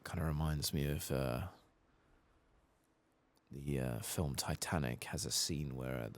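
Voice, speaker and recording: A soft-spoken man giving rambling ASMR commentary as he plays a game, sometimes dropping into a whisper. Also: rumbling low, measured pace. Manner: rambling, musing to himself; often drops into whispers. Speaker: host of a gaming playthrough channel.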